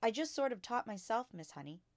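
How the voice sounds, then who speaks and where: A woman reading a storybook as a bright, earnest five-year-old schoolgirl, polite, precise and modest. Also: a little high, very animated, brisk, dry recording, clean studio sound, voice pushed up. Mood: modest, frank.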